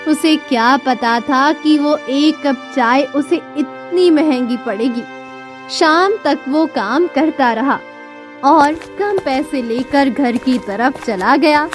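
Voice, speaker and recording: Narrator casting: woman, very high voice, unhurried scripted storybook delivery, music clearly behind.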